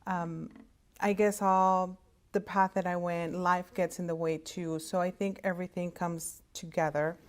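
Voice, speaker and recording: Low-voiced Spanish-native woman, chatty. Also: flat delivery. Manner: conversational, halting with frequent fillers and restarts. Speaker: hospital interpreter services director. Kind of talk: casual career talk to students. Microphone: video call setup.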